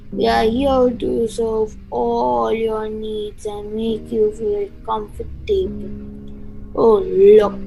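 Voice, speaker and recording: A woman's voice: a little high, vocal fry, full character voice, low-quality recording. A woman playing an unctuous, eerie castle servant, menacingly polite, in a radio play. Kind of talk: amateur radio play acting.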